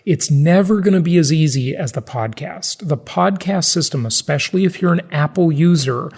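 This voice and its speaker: A man with a gravelly, husky voice, talking conversationally as the host of an interview podcast. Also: mid-range voice, a little mellow.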